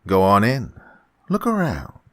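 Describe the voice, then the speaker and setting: A man's voice: lowish voice, wildly swooping pitch, unhurried. A man playing a falsely genial, sinister employer in a radio suspense drama, over-courteous, unctuous and insistent. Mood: insistent, coaxing. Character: falsely genial, sinister male employer luring a young woman. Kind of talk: old-time radio suspense drama acting.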